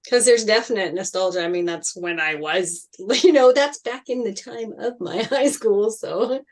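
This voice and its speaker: A woman's voice: mid-range voice, moderate pace. A woman in her fifties chatting casually and reminiscing in a group discussion. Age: 50s. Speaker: table read participant.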